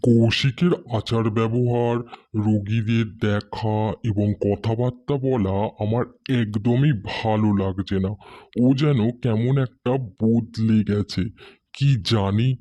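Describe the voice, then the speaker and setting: A man's voice: lowish voice, steady tone, slow, mellow, no echo. An actor playing an anxious young man in a cartoon horror story, dramatic and pleading. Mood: concerned. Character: young male doctor friend, anxious and pleading. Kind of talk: acted Bengali cartoon horror story dialogue.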